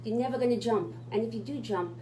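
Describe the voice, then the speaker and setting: A woman's voice: on the high side, quick pace, warm tone, reverberant, a little hiss or hum. A woman co-hosting a radio talk show, speaking in an emphatic, motivational way. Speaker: radio co-host.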